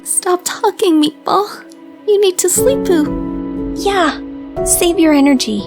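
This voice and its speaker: A small, caring female pet, pitched extremely high. She speaks slowly and devotedly, as a storybook animal would. Loud music plays over the voice. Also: exaggerated voice. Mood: tender.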